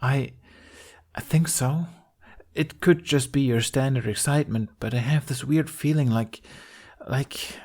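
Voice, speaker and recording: A man voicing an excitable, cheeky teenage boy in a storybook reading, eager and a little hesitant. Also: medium pitch, a little slow, pitched above the performer's own voice. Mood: earnest, excited.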